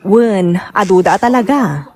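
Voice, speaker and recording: A woman's voice: mid-range voice, expressive, a little slow, darkish tone. A woman playing a teasing middle-aged working woman in an audio drama, with an Ilocano Filipino accent. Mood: conversational. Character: teasing older working woman, Layla, laughing about ageing. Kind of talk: radio-style drama acting.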